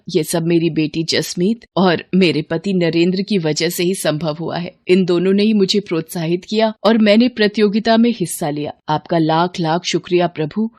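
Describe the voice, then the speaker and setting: A woman's voice: lowish voice, darkish tone, lightly acted. Woman voicing a devoted, weary Indian mother. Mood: grateful. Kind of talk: animated moral story dialogue.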